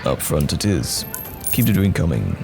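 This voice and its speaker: Very deep, gravelly wandering knight played by a man. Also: sing-song, heavily acted. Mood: weary, civil. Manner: measured, courteous, old-fashioned phrasing. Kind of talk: fantasy audio roleplay acting. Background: music.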